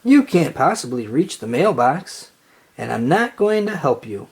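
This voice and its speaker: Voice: medium pitch, sing-song, unhurried, slightly rough, heavily acted. A storybook reader playing a bossy, scornful young girl, an exasperated big sister.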